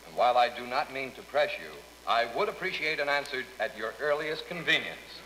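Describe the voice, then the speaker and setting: A man's voice: medium pitch, a little fast. A man playing a formal, straight-faced radio announcer who reads absurd listener letters in a scripted comedy skit. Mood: deadpan, formal. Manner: deadpan, formal. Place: radio studio. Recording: noisy old radio record, long reverb.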